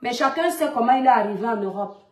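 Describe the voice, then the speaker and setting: A woman's voice: fairly high pitch, animated, fast talker, a little mellow, echoey room. A woman in her thirties with a Cameroonian French accent, lecturing in a scolding monologue full of rhetorical questions. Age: 30s. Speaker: mother addressing a public figure online. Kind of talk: monologue video rant.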